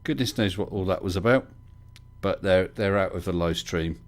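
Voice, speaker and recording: A man's voice: sits low, normal speed. A man hosting a casual livestream call-in chat, bringing callers in and answering them with dry, wry humour. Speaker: livestream host.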